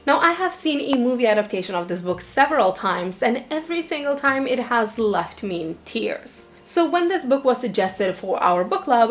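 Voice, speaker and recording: A young woman from Lithuania, a native Lithuanian speaker, giving candid, opinionated book reviews in English in a chatty vlog style with the odd filler. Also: a little high. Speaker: book vlogger.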